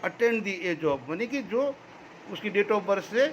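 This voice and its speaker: A man with a high-pitched voice delivers emphatic commentary straight to his viewers. He speaks on veterans' rights, explains points at length and keeps tagging on a checking question. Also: expressive, slow pace, slight background noise. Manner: emphatic, addresses listeners directly; repeats a tag question often. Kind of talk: direct-to-camera commentary.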